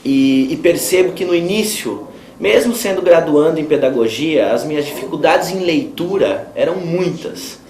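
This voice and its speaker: A man with a Brazilian accent giving a formal personal testimonial in measured, sometimes hesitant phrases. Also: a little high, expressive, darkish tone. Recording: roomy echo, faint ambient noise.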